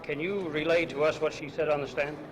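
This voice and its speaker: A man's voice: fairly high pitch, steady tone, a little fast. An American man working as a news reporter, putting questions to lawyers in a press interview. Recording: noisy archival newsreel field sound.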